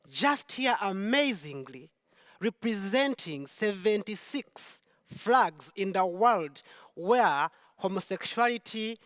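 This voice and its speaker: A woman speaks slowly and deliberately, leaning on repeated phrases as she gives her personal testimony. Her voice comes through a stage microphone in a large hall. The sound is dry and close. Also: medium pitch. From Uganda. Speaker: speaker at a Pride event.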